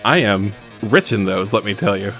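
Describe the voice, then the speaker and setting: A man's voice: fairly low pitch, lively intonation, normal speed, a bit bright, faint music behind. A man chatting casually as a player in a tabletop roleplay podcast, wry and self-mocking. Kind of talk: casual game chat with in-character lines.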